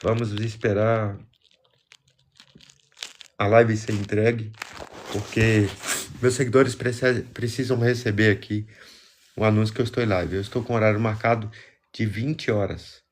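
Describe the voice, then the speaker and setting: Low-voiced Brazilian man with a cold. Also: fairly even intonation, a little slow, long pauses. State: congested with a cold. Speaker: live stream host. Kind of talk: casual livestream chat, greeting viewers.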